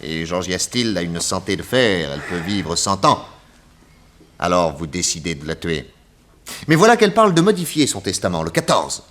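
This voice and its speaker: A man's voice: low-pitched, very animated, moderate pace, fairly bright tone. A man playing a confident detective in a 1960s French radio drama, speaking in a theatrical, emphatic, methodical way. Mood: relentless exposition. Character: confident male detective laying out an accusation.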